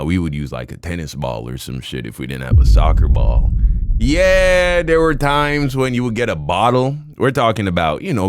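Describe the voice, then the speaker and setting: A young man from Jamaica, co-hosting a reaction video in crude, loud, slangy casual chat that jumps into shouts. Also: a bass voice, no echo, clean studio sound. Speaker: reaction co-host.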